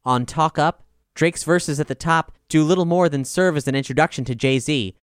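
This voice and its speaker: A man reading a scripted music review in a measured, articulate, polished way. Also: a little high, smooth, no room sound, clean studio sound. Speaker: album review reader and podcast host.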